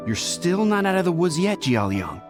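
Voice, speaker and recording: A man's voice: high-pitched, very animated, moderate pace. A man voicing a polite young male apprentice, dutiful and firm, over game music. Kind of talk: game voice acting.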